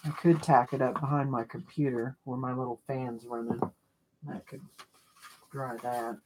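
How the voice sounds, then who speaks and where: A craft livestreamer with a loud voice, rambling and thinking aloud while working at a home desk, mic set off the desk. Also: medium pitch, flat delivery, moderate pace, background noise. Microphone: mic kept off the desk, away from the speaker.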